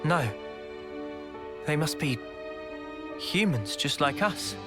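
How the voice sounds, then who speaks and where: A man's voice: on the high side, animated, takes it slowly, music in the background. A man playing a kind, earnest young hero in a game, sincere and a little hesitant.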